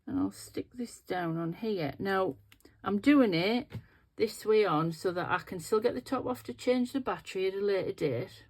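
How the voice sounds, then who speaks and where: A woman's voice: mid-range voice, moderate pace, hardly any pauses, darkish tone. Sniffly woman, middle-aged, casual chatty craft host talking through her work. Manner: chatty, thinking aloud while working with her hands. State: sniffly and nasal. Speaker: resin craft video host. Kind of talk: casual craft tutorial talk.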